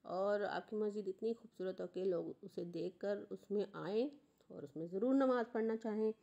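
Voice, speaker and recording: A woman's voice: mid-range voice, creaky, muffled recording. A woman hosting a casual design vlog voice-over, speaking unhurriedly with frequent hesitations. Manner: unhurried, many hesitation fillers; gently repetitive praise. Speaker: channel host.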